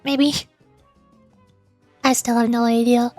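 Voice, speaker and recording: A woman's voice: fairly high pitch, level tone, takes it slowly, warm tone. A woman livestreaming a game, reading its text aloud and muttering her own reactions, with game music behind her. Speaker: streamer.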